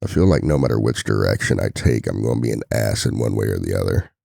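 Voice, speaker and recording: A man playing a devoted, worried single-dad boyfriend in an intimate ASMR roleplay, confiding softly with a gravelly voice. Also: fast, no echo, studio quality, full character voice. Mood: frustrated, torn. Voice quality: very deep, gravelly.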